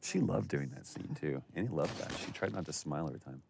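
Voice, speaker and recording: A man's voice: extremely low pitch, animated, fast, creaky, background noise. A man giving casual, enthusiastic behind-the-scenes commentary as an actor looking back on his work. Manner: enthusiastic, effusive.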